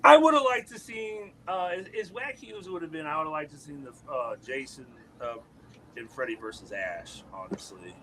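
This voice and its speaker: A man's voice: animated, normal speed, a bit bright, slight background noise, poor audio. A man being interviewed as a guest musician, talking in a laid-back way, swearing a lot and trailing off into fillers. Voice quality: deep voice. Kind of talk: casual interview chat.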